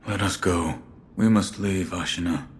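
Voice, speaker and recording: A man playing a stoic, loyal shinobi bodyguard in a video game, terse, gruff and formal. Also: sits low, unhurried, a little hiss or hum. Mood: solemn. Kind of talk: video game cutscene acting.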